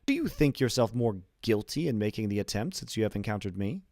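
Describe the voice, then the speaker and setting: A man's voice: on the low side, big pitch swings, a little fast, darkish tone, no room sound. Guy reading a young prisoner in an old novel, eager n amazed, formal questions, dry sound. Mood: surprised, frank. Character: earnest, astonished younger male prisoner, eager to learn. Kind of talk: audiobook character reading.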